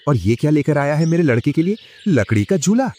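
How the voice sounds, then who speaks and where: A man playing a rich, snobbish, scornful elder brother in an animated moral story, speaking haughtily. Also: on the high side, brisk, slight background noise. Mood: scornful, angry.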